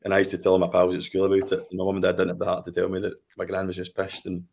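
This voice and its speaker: A man's voice: sits low, monotone, racing, gravelly, darkish tone, a little hiss or hum. A Scottish man in his late thirties, chatting casually about films and telling a laid-back family story with easy laughs. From Scotland. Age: late 30s. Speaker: podcast guest. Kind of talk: casual film discussion.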